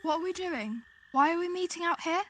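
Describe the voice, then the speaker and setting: A woman's voice: very high-pitched, expressive, brisk, a little hiss or hum. A woman playing an eager, questioning teenage friend in an audio drama. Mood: eager, curious.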